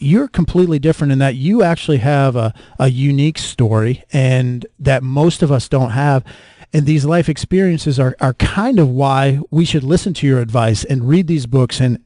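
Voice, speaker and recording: Mellow-voiced man hosting an interview podcast. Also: mid-range voice, dry recording, studio quality. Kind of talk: conversational interview questions.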